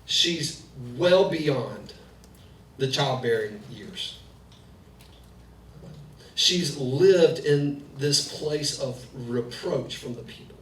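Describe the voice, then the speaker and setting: A man's voice: mid-range voice, animated, extremely slow, a bit bright. A man in his thirties, a pastor giving a conversational sermon full of personal family stories. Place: church sanctuary, preaching in the round. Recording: echoey hall, distant mic, faint noise.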